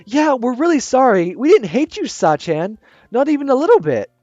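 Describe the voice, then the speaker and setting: A man voicing a loud, pushy and playful teenage schoolgirl who chatters excitedly. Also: extremely high pitch, moderate pace, no echo, muffled recording, faint music behind, voice pushed up. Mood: bubbly.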